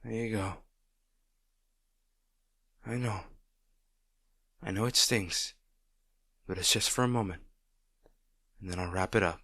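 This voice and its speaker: A man playing a gentle, protective boyfriend in an intimate roleplay audio, speaking in a tender, reassuring way. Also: lowish voice, slow pace, long pauses, dry recording, clean studio sound, full character voice. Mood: tender, soothing. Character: gentle, protective boyfriend comforting a distraught partner.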